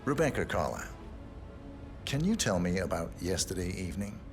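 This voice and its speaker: A cold, clipped assassin questions like a detective in a game cutscene. The voice is low and terse, with clear music underneath. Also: male voice, fairly quick. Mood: cool, probing. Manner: flat, clipped, formal questioning. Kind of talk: video game cutscene acting.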